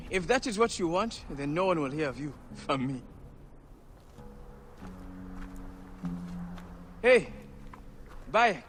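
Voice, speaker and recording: Slow, earnest ancient Egyptian ally. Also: male voice, sits high, a little hiss or hum. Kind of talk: dramatic video game acting.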